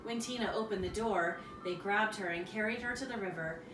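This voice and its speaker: A woman reading a children's story aloud in an expressive, rhythmic storytelling manner. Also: mid-range voice, a little fast, background noise. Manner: expressive, rhythmic with repetition and sound words. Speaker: storybook reader. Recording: roomy, distant mic, music behind. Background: music.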